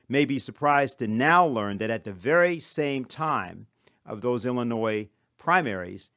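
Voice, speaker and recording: Measured man presenting in a dry room. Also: medium pitch, a bit bright, no room sound. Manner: measured, formal, long sentences broken into phrases. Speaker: conference presenter. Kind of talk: prepared speech.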